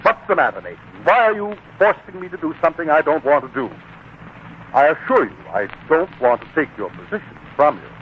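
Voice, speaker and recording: An actor playing a proud, petty, easily offended employer with a pompous, indignant manner in a 1940s radio drama. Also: male voice, on the high side, very animated, brisk. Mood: indignant, menacing. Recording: tinny, noisy 1940s radio broadcast.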